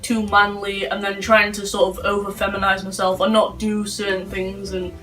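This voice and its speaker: A young man, giving calm, earnest answers as an interview guest. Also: high-pitched, moderate pace, recorded from a distance, faint music behind. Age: late teens.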